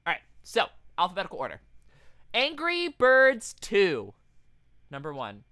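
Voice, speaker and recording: A man's voice: sits high, wildly swooping pitch, measured pace, pushed and bright, dry recording, clean studio sound. A man co-hosting a podcast in casual comedic chat, profane and riffing as he goes. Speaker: podcast co-host.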